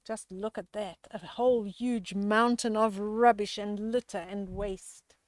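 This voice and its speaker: A woman storyteller reading a children's picture book aloud with expressive, drawn-out emphasis and chatty questions to young listeners. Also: medium pitch, no room sound.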